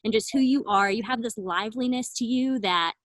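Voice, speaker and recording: A woman's voice: fairly high pitch, fairly quick. Upbeat woman host, playful. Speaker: morning show host. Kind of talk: casual interview hosting.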